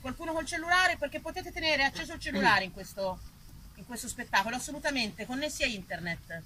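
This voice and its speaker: A woman's voice: high voice, expressive, quick pace, sharp tone, slight background noise, low-quality recording. An Italian woman performing a live theatre monologue, chatting playfully and directly with her audience. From Italy. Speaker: stage performer.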